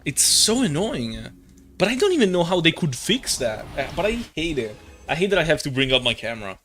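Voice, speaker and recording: A man's voice: a little high, very animated, a little fast, fairly bright tone. A young man chatting casually on a gaming live stream, rambling and slangy, playfully exasperated and prone to swearing. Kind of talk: casual live-stream chat while playing.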